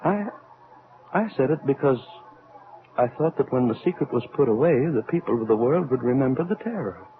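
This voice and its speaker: An older male actor playing a remorseful, weary atomic scientist in an old-time radio drama, speaking in a solemn, halting, oratorical way. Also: mid-range voice, animated, lightly acted. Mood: mournful, reflective. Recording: tinny, band-limited old radio recording.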